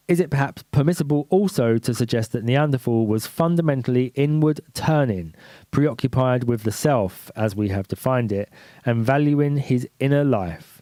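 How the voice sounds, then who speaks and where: Mellow-voiced man reading aloud steadily. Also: medium pitch, dry recording. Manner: measured reading, then rambling with restarts and fillers. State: stuffy nose. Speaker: live-stream host reading a book aloud. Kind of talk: book reading then casual chat.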